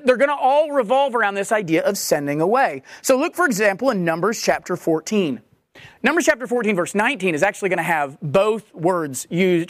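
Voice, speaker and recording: Casting: teaching preacher, medium pitch, dry close-miked sound, repeats and rephrases key words, restarts often. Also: a bit bright, no room sound. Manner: explains word meanings with repeated restatements and frequent restarts. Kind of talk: expository sermon on scripture.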